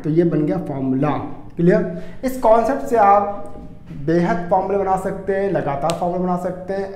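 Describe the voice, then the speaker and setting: A man's voice: sits high, darkish tone. An Indian man in his thirties giving an explanatory lesson as a teacher, often asking questions and answering them himself. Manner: asks and answers own questions. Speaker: chemistry teacher. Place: indoor room in front of whiteboard. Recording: echoey room with faint noise.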